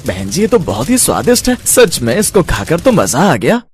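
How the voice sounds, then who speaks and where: A man's voice: fairly high pitch, huge pitch swings, normal speed. A man playing a polite village townsman in cartoon story dialogue, with noise behind the voice. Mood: appreciative.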